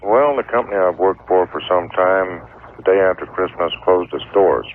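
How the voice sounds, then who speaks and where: Middle-aged male caller, very low voice, measured and halting, tinny phone line on radio. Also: a little hiss or hum. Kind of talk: explaining a problem on a phone-in.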